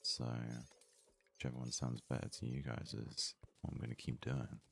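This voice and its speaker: A man giving soft-spoken ASMR game commentary in an unhurried, hesitant way, as if thinking aloud. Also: extremely low pitch, flat delivery, creaky. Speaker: gaming video host.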